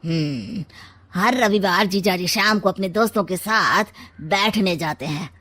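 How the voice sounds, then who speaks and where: A woman playing a slow-drawling, scheming uncle. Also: mid-range voice, fairly bright tone. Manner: drawling, sly, theatrical. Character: scheming, sarcastic maternal uncle, middle-aged or older man. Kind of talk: acted Hindi comic family story.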